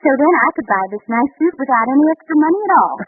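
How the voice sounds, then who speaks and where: A woman playing a capable, reassuring young housewife in 1930s radio comedy, brisk and self-assured, on an old recording. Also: high-pitched, lively intonation, slight background noise. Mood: satisfied, confiding. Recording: tinny 1939 radio recording.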